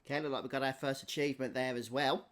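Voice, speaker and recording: A man's voice: mid-range voice, little pitch movement, fast, fairly bright tone. A man hosting a gaming video, giving casual, conversational commentary over gameplay. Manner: chatty, conversational.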